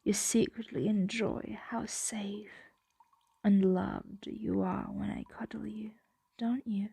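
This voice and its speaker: A woman voicing a possessive, doting female dragon in an ASMR roleplay, soothing and teasing in a breathy, intimate whisper. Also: mid-range voice, fairly even intonation, slow pace, warm tone, no echo, exaggerated voice. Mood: teasing, smug.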